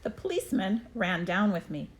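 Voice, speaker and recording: A woman reading a children's story aloud expressively, with deliberate, dramatic emphasis. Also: medium pitch, very animated. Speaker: storybook reader.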